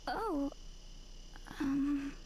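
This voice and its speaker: A woman acting a hesitant, troubled young female protagonist in a visual novel, speaking in a halting, tentative way. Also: sits high, a little mellow. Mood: troubled, confused. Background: game music.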